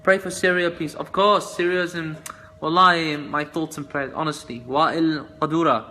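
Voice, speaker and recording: A man answering viewers' questions in a casual live chat, soft-spoken and hesitant, with many fillers and restarts. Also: fairly high pitch, steady tone, moderate pace, a little hiss or hum. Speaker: musician answering fans in a live stream.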